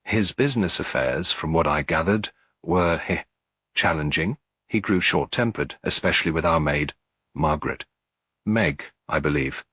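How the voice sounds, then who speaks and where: A man's voice: very deep voice, normal speed, fairly bright tone, no room sound, exaggerated voice. A man voicing a tired, strained middle-aged widow in an audiobook, cool, guarded and composed. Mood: strained, grieving. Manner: clear but weary, composed. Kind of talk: audiobook character dialogue.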